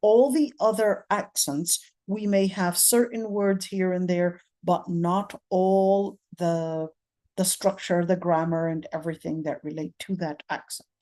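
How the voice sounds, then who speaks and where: A middle-aged woman delivers a classroom lecture. Her voice is mellow and warm, sitting on the lower side. She moves slowly, repeating points and checking in with her listeners. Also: fairly even intonation. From Palestine. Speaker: teacher of Quran commentary.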